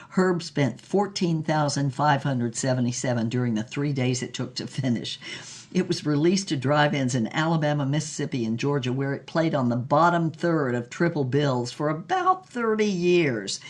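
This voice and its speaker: An actress playing a worldly old former film star, telling her tales frankly and theatrically in a dramatised interview, with deliberate dramatic pauses. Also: sits low. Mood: wry, reminiscing. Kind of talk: dramatised interview, storytelling monologue.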